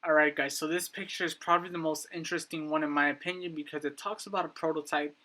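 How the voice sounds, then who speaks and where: A man's voice: fairly high pitch, steady tone, fast talker, sharp tone. A man giving casual, emphatic commentary on video game lore, addressing his viewers directly. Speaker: YouTube gaming content creator.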